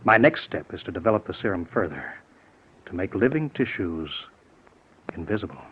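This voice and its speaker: A man playing a brooding, obsessive scientist, proud and bitter, with a measured, superior manner in 1940s radio drama style. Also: low-pitched, big pitch swings, a little hiss or hum. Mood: smug, obsessive. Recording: muffled, tinny old radio recording.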